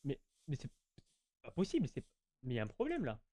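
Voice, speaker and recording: A man's voice: mid-range voice, wildly swooping pitch, a little fast, warm tone, dry recording. A man giving casual, slangy gameplay commentary, chatting to his viewers and often repeating himself. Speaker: gaming YouTuber.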